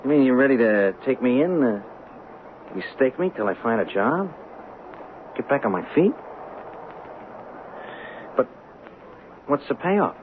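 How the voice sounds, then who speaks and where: An actor playing a cocky, wary young hustler in a radio drama, with a slangy, streetwise manner. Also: male voice, mid-range voice, expressive, a little hiss or hum, lightly acted. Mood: wary, hopeful. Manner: streetwise, slangy, halting when cornered. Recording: muffled narrow-band radio broadcast with music.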